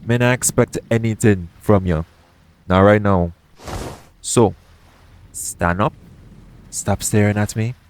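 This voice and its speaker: A man playing a roguish, caring pirate captain in an intimate audio roleplay, speaking in a soothing, gently teasing manner. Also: on the low side, slow pace, pauses often, slight background noise. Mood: gentle, reassuring.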